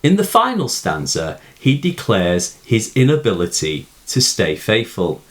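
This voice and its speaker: A man giving a scripted, explanatory poetry lesson in a measured, formal manner. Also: on the low side, huge pitch swings. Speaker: poetry teacher. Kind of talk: scripted explanatory narration of a poem analysis.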